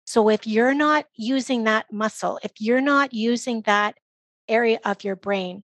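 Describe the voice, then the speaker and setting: A woman's voice: fairly high pitch, unhurried, fairly bright tone, no echo. A woman speaking as an expert guest, answering interview questions in an explanatory, conversational way. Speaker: literacy program guest expert.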